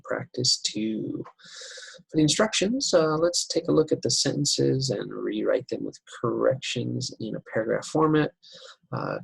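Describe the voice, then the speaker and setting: Guy teaching english writing over slides, gravelly husky voice, slow and steady, dry close sound. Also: mid-range voice, no echo, low-quality recording. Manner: unhurried, explains step by step with many uh fillers. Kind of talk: recorded slideshow lesson.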